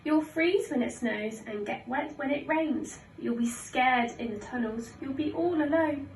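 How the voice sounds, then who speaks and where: A woman voicing a worried young boy in a children's storybook, calling out loud, insistent, sing-song warnings. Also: high-pitched, measured pace, voice pushed up. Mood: urgent, warning. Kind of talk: children's storybook read-aloud. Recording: roomy, distant mic, faint noise.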